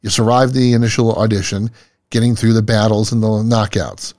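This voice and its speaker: A man hosting an interview, reading scripted questions with lively, announcer-like delivery. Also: fairly low pitch, a little fast, dry recording. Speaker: interview host. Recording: dry sound with faint music behind.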